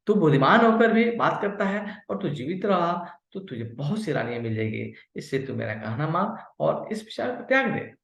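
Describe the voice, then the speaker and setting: A man plays a wise, stern god from a storybook, compassionate underneath. He counsels solemnly in a creaky voice, with a dull, roomy sound. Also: on the high side, very animated, normal speed, hardly any pauses, pitched above the performer's own voice. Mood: admonishing. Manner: admonishing, solemn, instructive. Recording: muffled, echoey home recording.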